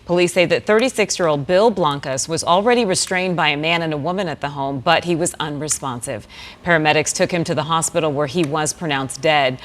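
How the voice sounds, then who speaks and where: Low-voiced woman news anchor. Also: a little fast. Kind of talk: newscast reading.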